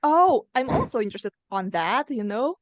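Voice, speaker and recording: A woman's voice: a little high, very animated, moderate pace, a little hiss or hum. A young woman, a student debater and programmer, chatting casually in an upbeat and reassuring way. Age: young adult. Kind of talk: casual chat.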